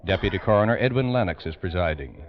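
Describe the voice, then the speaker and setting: A man's voice: deep voice, expressive, fast. A man giving formal, dramatic scripted narration for a 1940s radio crime program. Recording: dull old radio recording.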